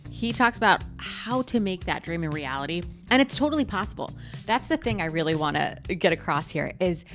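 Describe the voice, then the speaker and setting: A woman's voice: fairly low pitch, expressive, a little fast, fairly bright tone, faint music behind. A woman co-hosting a podcast in an upbeat, welcoming manner. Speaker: podcast co-host. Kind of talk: podcast chat.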